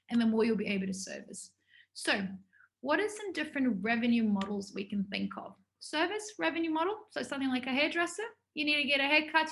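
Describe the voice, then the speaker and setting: A woman's voice: high voice, a bit bright. A woman giving an informal business workshop to students, talking in a conversational, enthusiastic way.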